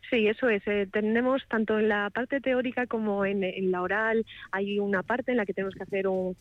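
A woman's voice: mid-range voice, fairly quick, dry recording, narrow, tinny audio. A woman in her thirties, a sommelier, answering, thoughtful and full of small restarts. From Galicia, Spain. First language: Galician. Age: 30s. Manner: thoughtful, restarts often, even delivery. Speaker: sommelier interviewee. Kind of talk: radio interview answers.

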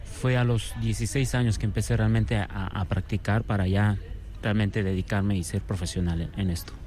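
Low-voiced Venezuelan man, casual guest. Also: fairly even intonation, normal speed, a little mellow. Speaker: circus artist, interview guest. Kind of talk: casual conversational interview.

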